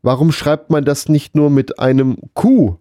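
A man's voice: a little high, fairly even intonation, unhurried, mellow, no room sound, clean studio sound. A man co-hosting a casual, humorous podcast, musing in a hesitant, rambling, mock-serious way. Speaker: podcast co-host.

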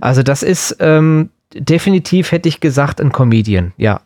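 A man's voice: fairly high pitch, expressive, moderate pace, darkish tone, no room sound, studio quality. A man co-hosting a podcast in casual, joking chat. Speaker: podcast co-host.

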